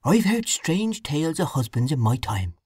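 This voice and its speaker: A woman's voice: sits low, very animated, moderate pace, a little mellow, slightly rough, no room sound, pitched above the performer's own voice, exaggerated voice. Woman doing an old country widow, rural English dialect, homely, soothing, lilting, dry sound.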